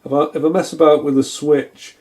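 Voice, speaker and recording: Mellow, tentative man. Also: a little high, level tone, brisk. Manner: tentative, thinking aloud. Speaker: electronics repair hobbyist, video host. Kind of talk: casual hands-on repair commentary. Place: indoors at a wooden workbench.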